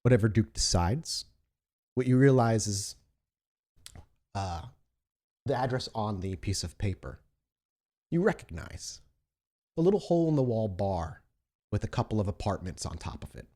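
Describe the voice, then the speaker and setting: A man narrating a tabletop roleplaying game as storyteller, in a dramatic, descriptive and deliberate way. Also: fairly low pitch, expressive, dark tone.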